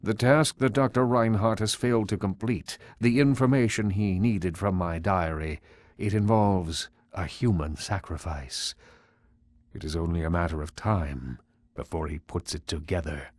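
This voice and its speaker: A grave older scholar for a video game. His voice is low and recorded dry, and he speaks in a formal, foreboding way. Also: steady tone, unhurried, a little mellow, dry recording. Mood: grave warning. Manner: solemn, ominous, formal. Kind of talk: video game cutscene acting.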